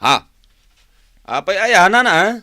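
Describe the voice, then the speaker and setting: An animated, bright voice plays a defensive young husband in a Filipino radio drama. He grumbles and questions stubbornly, recorded dry and close. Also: high-pitched, slow pace, no echo, heavily acted.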